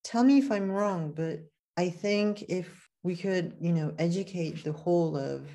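Low, hesitant woman interviewer. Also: unhurried, dark tone. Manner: hesitant, with many fillers and restarts. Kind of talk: conversational interview.

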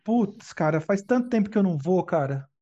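A man co-hosting a casual comedy chat in a relaxed, wry way. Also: sits high, fairly quick, muffled recording. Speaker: co-host.

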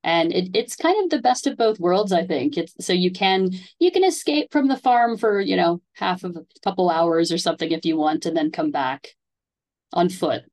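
Lower-voiced woman hosting a casual interview. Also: expressive, moderate pace, a little mellow. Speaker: interview host.

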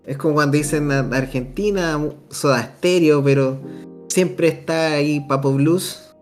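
Chilean young man, podcast co-host, fairly high voice, eager and slangy, faint music underneath. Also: unhurried. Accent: Chilean Spanish. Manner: enthusiastic, slangy, many fillers. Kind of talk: casual music chat.

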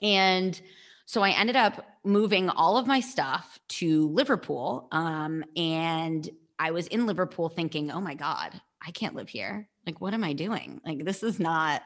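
Low-voiced woman, rambling chat. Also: lively intonation, measured pace. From United States. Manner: rambling, packed with "like" fillers. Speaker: guest, nutrition coach. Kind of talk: casual podcast chat.

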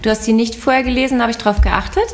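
A middle-aged woman with a somewhat high voice hosts a relaxed podcast chat. She sounds a little hoarse after being ill, and she talks freely with frequent laughs and ums. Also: fast, a little hiss or hum. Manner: chatty, laughs often, many ums. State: hoarse, recovering from illness. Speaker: podcast host.

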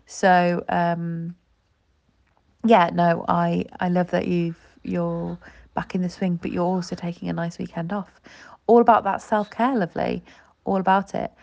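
A woman's voice: lowish voice, flat delivery, unhurried, gravelly, a little mellow, highs cut off. A woman recording a rambling, sleepy late-night voice note from bed, full of ums and restarts. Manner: chatty, many ums and restarts. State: sleepy, yawning. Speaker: co-host sending a voice note.

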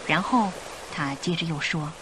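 A woman's voice: low voice, normal speed, noisy background. A woman narrating a scripted radio drama in a theatrical storytelling manner.

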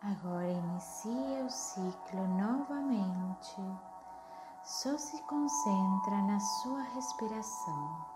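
Soothing lady doing guided meditation, really slow talking, echoey sound w music behind. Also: medium pitch, expressive. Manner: soothing, unhurried, gently instructing.

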